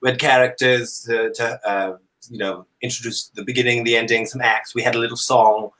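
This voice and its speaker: A man's voice: medium pitch, little pitch movement, a little fast, sharp tone, poor audio. A man from Canada chatting comically as a talk show guest in an affected, theatrical dandy persona, with hesitant phrasing. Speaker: drag comedy performer, talk show guest. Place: indoor room at home, on a video call. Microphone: video call.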